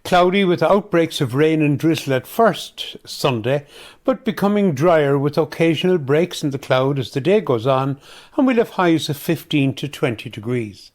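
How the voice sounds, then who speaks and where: Irish male weather reader for community radio, voice on the higher side, scripted forecast delivery. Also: expressive, normal speed.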